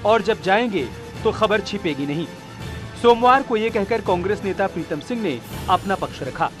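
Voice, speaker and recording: A man reading a scripted news report voice-over in a dramatic, rhetorical way. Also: sits high.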